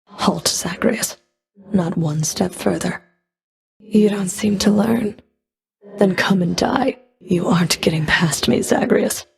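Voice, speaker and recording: A woman's voice: medium pitch, slow, creaky, pauses often. A woman playing a stern, scornful Fury of the underworld in a video game, speaking in a cool, clipped, disdainful manner. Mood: commanding. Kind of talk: video game combat and dialogue voice lines.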